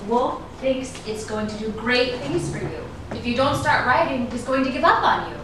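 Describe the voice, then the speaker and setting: A woman's voice: fairly high pitch, expressive, moderate pace, lightly acted. A woman playing a worldly, reproachful actress in a theatrical staged reading, with a pointed delivery. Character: worldly, wary actress, an old flame, reproachful. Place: indoor room at a live staged reading. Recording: echoey room, distant mic, noisy background hiss.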